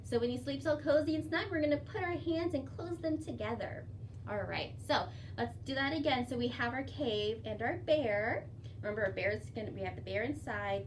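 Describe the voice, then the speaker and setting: A woman storyteller reading picture books aloud to young children in an expressive, deliberate, sing-song way. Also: sits high. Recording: distant microphone with faint background noise.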